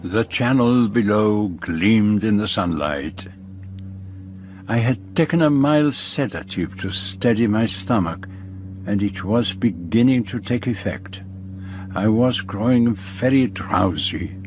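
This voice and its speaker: An actor playing a fastidious, observant detective, narrating formally and precisely in a radio drama. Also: male voice, on the low side. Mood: drowsy, musing. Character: fastidious, observant foreign male detective narrating his journey. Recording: steady aircraft cabin noise behind.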